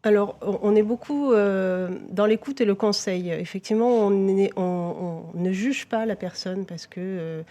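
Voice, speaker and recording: A woman's voice: mid-range voice, measured pace, hardly any pauses, mellow. A woman police victim-support officer, a guest on a TV talk show, explaining her work with frequent fillers and restarts.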